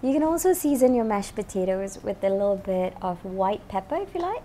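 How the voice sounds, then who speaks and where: A woman's voice: mid-range voice, sing-song, brisk, dark tone, slight background noise. A woman in her thirties, a chef hosting a cooking show, chatting upbeat to camera with plenty of "you know" as she cooks, wearing a lapel mic in a kitchen studio. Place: home-style kitchen studio, indoors.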